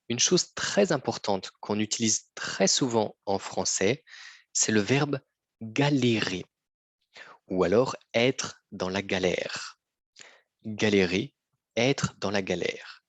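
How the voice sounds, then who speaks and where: A man teaching a slow, patient lesson to learners, repeating phrases and often checking that they follow. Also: fairly low pitch, fairly bright tone, dry recording. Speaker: French teacher.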